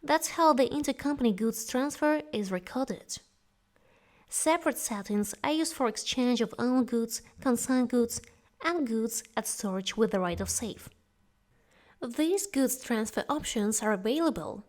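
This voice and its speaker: A woman's voice: fairly high pitch, expressive, measured pace. A woman instructor reading a scripted tutorial narration, giving step-by-step directions. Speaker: software course instructor.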